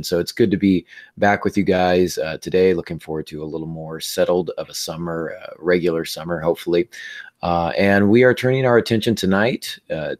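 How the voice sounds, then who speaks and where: A man hosting a writing podcast in a casual, halting way with many fillers, his voice worn down by laryngitis. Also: low-pitched. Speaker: podcast host. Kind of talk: casual podcast chat and teaching.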